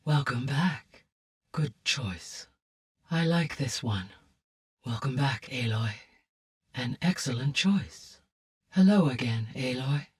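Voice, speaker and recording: A woman voicing a calm, courteous, motherly artificial intelligence in a video game, speaking softly with measured, precise, formal diction. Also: extremely low pitch, breathy edge, distant mic, exaggerated voice. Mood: gentle, welcoming. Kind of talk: scripted video game voice acting.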